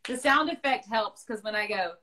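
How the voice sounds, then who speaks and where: A young woman chatting casually on a livestream video call, bubbly and quick to laugh, speaking into a phone held at arm's length. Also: mid-range voice, expressive, heavy background noise. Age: young adult. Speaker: livestream co-host. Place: bright room indoors.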